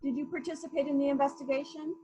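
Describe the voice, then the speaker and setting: A woman playing a calm, persistent police investigator in a murder mystery reading, formal, measured and politely probing. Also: sits high, close to an everyday voice.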